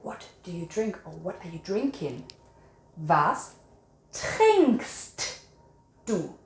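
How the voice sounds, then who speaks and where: A woman's voice: lowish voice, very animated, extremely slow, roomy sound. A woman teaching a language quiz to viewers, instructive, repeating and carefully enunciating her example sentences. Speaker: language teacher and channel host.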